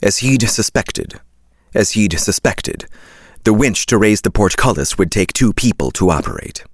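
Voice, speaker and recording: A man's voice: lowish voice, lively intonation, moderate pace, slightly rough, dry recording, clean studio sound. A man reading scripted audiobook narration and repeating lines in retakes.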